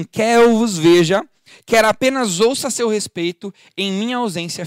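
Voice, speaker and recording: Brazilian man, high voice, preacher, earnest livestream Bible teaching, dry sound. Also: no echo. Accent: Brazilian Portuguese. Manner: explanatory, uses homely everyday examples; earnest, exhorting.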